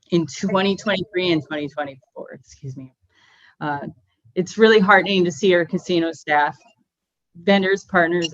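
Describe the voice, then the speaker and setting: Woman, friendly presenter, lowish voice, dry dull meeting audio. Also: no echo. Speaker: GameSense presenter.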